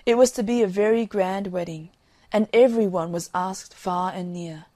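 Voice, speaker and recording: A woman reading a fairy tale in an expressive, old-fashioned storybook narration. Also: medium pitch, moderate pace, no echo.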